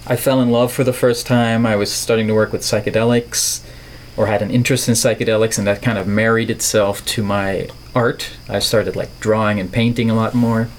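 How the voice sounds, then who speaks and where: A man's voice: on the low side, flat delivery, a little fast. Middle-aged man, American accent, noisy recording. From Netherlands. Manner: wordy, playful with language. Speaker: podcast guest, artist and filmmaker. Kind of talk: reflective conversational interview.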